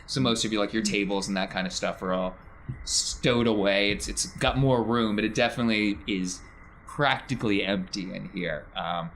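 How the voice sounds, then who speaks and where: A man's voice: fairly low pitch, a bit bright, low-quality recording. A man narrating a tabletop game as game master in a conversational, encouraging way. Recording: clear background noise.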